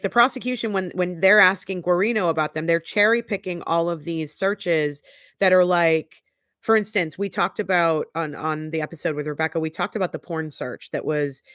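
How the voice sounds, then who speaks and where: Stuffy-nosed woman rambling her opinions on a livestream, lowish voice, super dry sound. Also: a bit bright, no echo. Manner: chatty, opinionated, blunt, lots of filler "like". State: congested, sniffly. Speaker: livestream host. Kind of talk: casual chat, trial recap. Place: home livestream.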